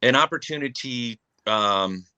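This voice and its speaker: A man's voice: medium pitch, lively intonation, unhurried, bright, forceful tone, smooth, highs cut off. A young adult man from Japan, a guest in a casual live-stream chat, talking earnestly and often restarting his sentences.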